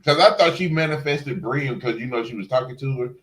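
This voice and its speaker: A man's voice: medium pitch, a little fast, fairly bright tone. A man co-hosting a casual review chat, talking in an emphatic, sassy, salty-tongued way. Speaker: review show co-host.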